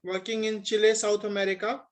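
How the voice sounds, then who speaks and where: A male host formally introduces the next speaker at an online summit. His voice sits high and stays flat, with little rise and fall. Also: a little fast.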